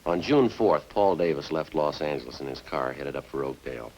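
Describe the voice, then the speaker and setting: A man's voice: a bass voice, expressive, a little fast, a little mellow. An actor playing a methodical male police sergeant in a 1950s radio crime drama, clipped, understated and matter-of-fact. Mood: firm, matter-of-fact. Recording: noisy old-time radio recording.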